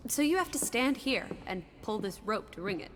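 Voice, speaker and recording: A woman's voice: fairly high pitch, sing-song, moderate pace, in a large hall, slight background noise. A woman playing a sociable, teasing young companion in a 1920s video game drama, full of playful old-fashioned slang. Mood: curious.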